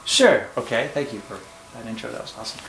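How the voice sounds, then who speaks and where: A man's voice: medium pitch, animated, quick pace, gravelly, fairly bright tone. Brief: male home teacher, patient explainer, echoey room with faint hum. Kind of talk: casual classroom discussion.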